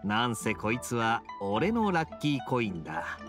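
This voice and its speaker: An actor voicing a suave, teasing young knight in a video game, with a smooth, playful, unhurried delivery. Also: male voice, mid-range voice, very animated, music in the background, close to an everyday voice. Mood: smug, playful. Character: suave, teasing young male knight with sly gambler's wit. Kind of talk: video game dialogue acting.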